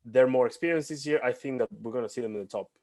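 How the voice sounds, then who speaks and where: A man's voice: mid-range voice, very fast, a little mellow, no room sound, clean studio sound. A young man from Argentina co-hosting a basketball podcast over a video call, talking animatedly and repeating points for emphasis. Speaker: podcast co-host. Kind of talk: casual basketball chat. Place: home room, video call. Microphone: headset.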